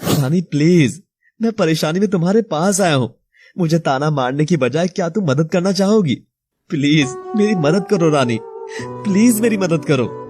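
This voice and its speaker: Man acting anguished, remorseful young husband, higher-pitched. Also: expressive, normal speed, darkish tone, slightly rough, faint music behind. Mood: desperate pleading. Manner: anguished, imploring, dramatic. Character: remorseful married young man pleading with his childhood love. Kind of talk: Hindi audio story acting.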